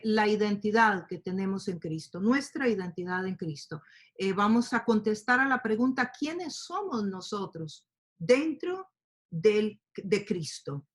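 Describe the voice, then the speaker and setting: A woman teaching a Bible study to a group, speaking warmly and explaining with fillers and questions. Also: a little high. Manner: speaks to a group, asks them to open their Bibles, many fillers like "eh" and "este". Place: lives in the United States.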